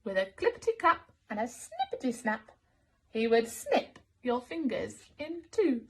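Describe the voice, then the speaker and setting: A woman reading a rhyming picture book to children as a teacher, expressive and sing-song. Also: on the high side, unhurried.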